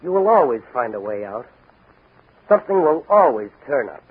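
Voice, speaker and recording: A man's voice: medium pitch, big pitch swings, normal speed, breathy edge. A man playing a confident, wisecracking young policeman hero in a 1940s radio drama. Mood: earnest. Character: bold, wisecracking young policeman and masked crime-fighting hero. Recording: tinny, hissy 1940s radio recording.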